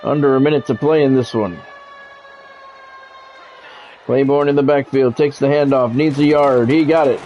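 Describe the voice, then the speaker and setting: A man's voice: mid-range voice, fairly even intonation, normal speed, pauses often, mellow, highs cut off, slight background noise. A man doing live play-by-play of a football video game, mixed with blunt, salty, conversational asides. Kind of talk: live sports play-by-play commentary mixed with casual chat.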